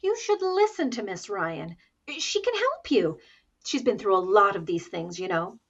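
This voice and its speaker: Sympathetic girlfriend type, female actor, 1940s radio comedy: cooing, very lively intonation, slow, slightly muffled recording. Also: mid-range voice. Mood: sweet, flattering. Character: sweet, gushing, sympathetic female friend. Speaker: actress in a radio comedy recreation. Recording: dull, lo-fi sound.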